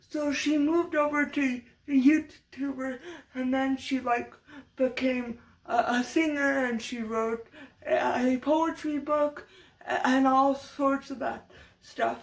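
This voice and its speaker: A young woman with a high voice, speaking slowly and haltingly. Her voice is fading from allergies and her nose is stuffed up. She keeps restarting words as she chats to camera in a loose, unscripted vlog. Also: steady tone, vocal fry, rough recording. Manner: halting, many restarts and stutters. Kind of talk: unscripted casual commentary vlog.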